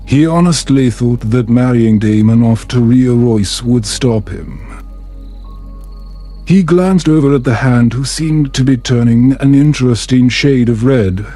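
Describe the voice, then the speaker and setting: A man reading a scripted bedtime fantasy story in a calm, soft, soothing, measured way. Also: mid-range voice. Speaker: storyteller, narrator. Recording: music under the voice.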